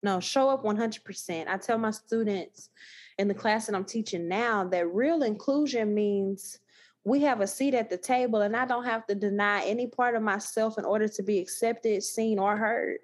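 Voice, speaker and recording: A woman with a thick Texas accent, talking as a guest in a casual podcast conversation, animated and emphatic with frequent laughter. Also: mid-range voice, normal speed. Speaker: guest, new scholar.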